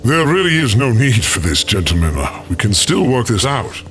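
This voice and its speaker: A man's voice: medium pitch, very animated, a bit bright, faint music behind. A man playing a big, level-headed mercenary leader, dry and diplomatic, in a fantasy audio drama. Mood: wary. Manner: measured, dry, authoritative.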